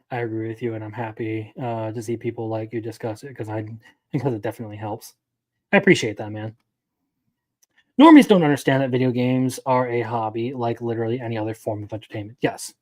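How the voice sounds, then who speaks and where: A man's voice: mid-range voice, a little fast, darkish tone. A man in his twenties giving casual live-stream commentary as an anime news host, opinionated and rambling, answering his chat as he goes. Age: 20s.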